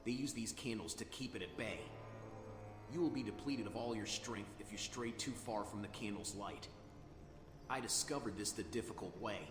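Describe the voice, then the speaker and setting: A man voicing a solemn, logical machine-being guarding a tomb in a video game, speaking formally and gravely. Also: on the low side, normal speed. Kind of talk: video game quest dialogue. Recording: long hall reverb, music behind. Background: game music.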